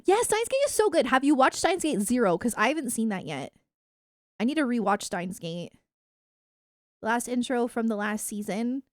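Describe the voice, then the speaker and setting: A woman chatting casually to viewers on a livestream in a giggly, animated, rambling way. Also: fairly high pitch, normal speed, no echo, clean studio sound. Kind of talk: casual livestream chat about anime and games.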